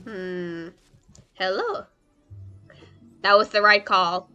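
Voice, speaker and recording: A woman's voice: mid-range voice, drawn-out words, pushed and bright, clean tone, highs cut off, faint music behind. A woman streamer giving casual, playful commentary, half talking to herself as she plays a game. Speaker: live streamer.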